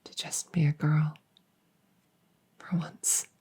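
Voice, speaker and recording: Breathy, hushed young princess, acted by a woman. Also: low-pitched, flat delivery, full character voice. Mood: earnest, soft. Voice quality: soft, breathy, often whispering. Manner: slow, hushed, deliberate, coy pauses. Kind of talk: intimate roleplay audio drama, ASMR-like.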